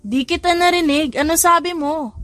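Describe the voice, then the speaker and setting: A woman's voice: very high voice, normal speed, fairly bright tone, faint music behind, voice pushed up. Woman acting a tipsy, heartbroken young woman. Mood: confused, loud. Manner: slurred, drunken, insistent. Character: heartbroken, boozy young woman drowning sorrows at bar.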